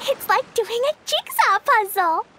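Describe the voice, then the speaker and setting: Squeaky, excitable little fairy girl. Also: a little slow, background noise. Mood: thoughtful. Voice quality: squeaky, childlike. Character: tiny excitable childlike fairy girl companion, cheeky and eager. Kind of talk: animated video game voice acting. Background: music.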